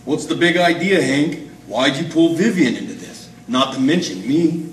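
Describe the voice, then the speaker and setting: A man's voice: on the high side, normal speed, close to an everyday voice. Man acting blunt noir sidekick, distant and echoey. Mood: annoyed. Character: wary, gruff-talking noir sidekick man. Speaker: amateur stage radio-drama actor. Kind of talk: 1940s radio noir acting. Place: live performance in an art gallery. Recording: distant, echoey room with faint noise.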